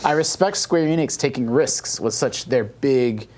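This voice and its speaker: A man's voice: medium pitch, animated, unhurried, darkish tone. Male podcast panelist, mid-range voice, conversational and musing, given to rhetorical questions. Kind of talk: casual panel discussion about video games.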